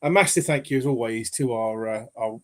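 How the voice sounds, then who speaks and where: A man with a croaky voice co-hosting a football podcast in loose, casual chat. He jokes around and repeats phrases, and his pitch sits in the ordinary middle. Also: fairly quick. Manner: chatty, jokey, repeats phrases. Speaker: podcast co-host.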